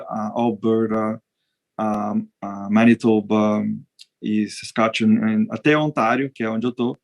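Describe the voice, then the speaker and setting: A Brazilian veterinarian answering interview questions in a relaxed, hesitant way, with frequent restarts. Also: male voice, medium pitch, creaky. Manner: hesitant, many restarts and fillers. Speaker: veterinarian guest. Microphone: video call.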